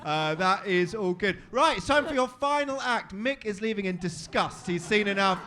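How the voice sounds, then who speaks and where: Bright-voiced compere, high and sharp. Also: male voice, lively intonation, moderate pace. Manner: blunt, matey, builds to loud announcements. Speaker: comedy show host. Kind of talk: live compering and giving feedback. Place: comedy club stage.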